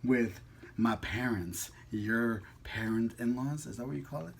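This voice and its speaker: A man's voice: medium pitch, measured pace, creaky, husky. A man vlogging casually over a meal, talking in an informal, slangy, teasing way. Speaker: mukbang vlogger. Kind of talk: casual chat while eating.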